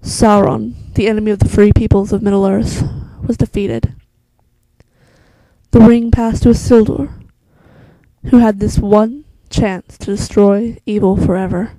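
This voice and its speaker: Woman performing a hushed, creaky, solemn elf narrator. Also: medium pitch, fairly even intonation, unhurried, no echo, natural voice, low-quality recording. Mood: grave. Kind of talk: slow dramatic fantasy monologue.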